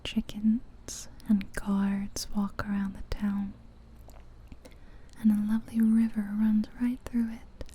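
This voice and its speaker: Very slow, whispery, dark-toned woman. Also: medium pitch, completely flat delivery, a little hiss or hum. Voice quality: breathy, whispery soft-spoken voice. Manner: slow, gentle, soothing, with long pauses. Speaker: ASMR host and narrator. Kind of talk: ASMR guided visualization, scripted second-person narration. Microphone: close ear-to-ear ASMR microphone.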